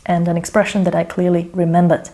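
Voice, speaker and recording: A woman's voice: lowish voice, level tone, quick pace, dark tone. A woman whose first language is Slovak, talking through her language study routine, chatty and self-correcting, often checking in with "okay?". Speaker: language mentor. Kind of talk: thinking aloud while demonstrating a study method.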